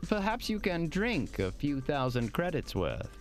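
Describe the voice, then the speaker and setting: An attentive, polite hotel host in an audio drama. The man's voice swings widely in pitch and sits a bit high, formal in manner, over faint music. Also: wildly swooping pitch, unhurried. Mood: courteous.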